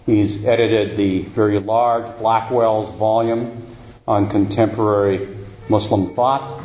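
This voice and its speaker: A man hosting an evening university event gives a formal, halting introduction full of "uh" and "um". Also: on the low side, steady tone, a little mellow, a little hiss or hum. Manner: halting, with many uh and um fillers. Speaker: event host introducing a speaker. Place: university lecture room. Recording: roomy, dull lecture-hall recording.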